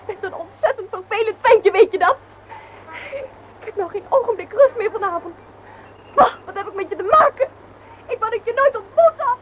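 A woman's voice: very high voice, expressive, a little fast, long pauses. A woman acting a reproachful, headstrong young woman in a radio play. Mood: indignant. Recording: noisy old radio play recording.

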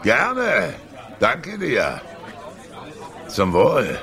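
An actor playing a boozy, wistful male barfly in a German radio drama, rambling and musing in a gravelly voice. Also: mid-range voice, huge pitch swings, drawn-out words, sharp tone, exaggerated voice. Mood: friendly, wistful. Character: boozy, wistful male barfly, slyly sociable jazz fan. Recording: bar crowd murmur behind.

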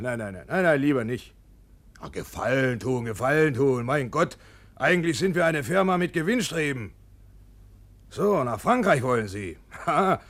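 An actor playing a grasping, grudging property dealer on the telephone in a 1950s German radio play, fussy, dismissive and repetitive. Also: male voice, on the high side, fairly bright tone.

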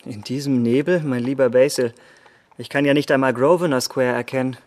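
An actor playing a vain, scornful young gentleman in a radio drama, speaking polished, formal lines in a haughty, mocking manner. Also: mid-range voice, fairly even intonation, fairly quick, lightly acted. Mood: breezy, flippant.

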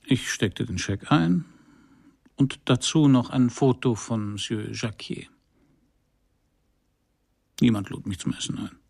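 Gravelly, wry private eye. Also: male voice, medium pitch, expressive, slow pace, long pauses, darkish tone, full character voice. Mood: dry, wry. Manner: dry, laconic, sardonic. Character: wry, hard-boiled 1950s Paris private detective. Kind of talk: first-person crime radio play narration and dialogue.

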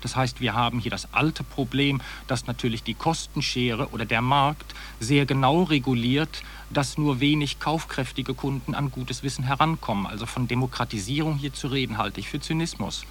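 Academic guy being interviewed, middle pitch, wordy and emphatic, critical, noisy 80s tape. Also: moderate pace, few pauses, a bit bright. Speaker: academic researcher, interview guest. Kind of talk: expert radio interview. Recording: noisy digitized 1980s cassette.

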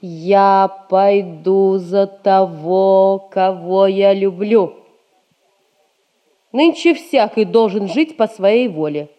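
A woman's voice: mid-range voice, mellow, highs cut off. An actress playing a headstrong young merchant-class woman in love, defiant and emphatic in a stage comedy. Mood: stubborn, challenging. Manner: deliberate, emphatic, stubborn delivery. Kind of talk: Russian stage comedy recorded as radio play.

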